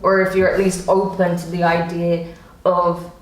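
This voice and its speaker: A woman's voice: lowish voice, little pitch movement, unhurried. Chatty woman reading tarot, echoey room. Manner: chatty, reassuring, frequent "okay?" and "right?" tags. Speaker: tarot reader.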